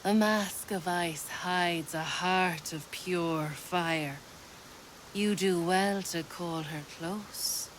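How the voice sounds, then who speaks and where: A very slow, whispery mystic creature. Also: female voice, lowish voice, slight background noise, low-quality recording. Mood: cryptic, probing. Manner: slow, cryptic, deliberate, breathy whispering. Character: mysterious female fey creature testing lovers' bond. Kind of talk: fantasy video game acting.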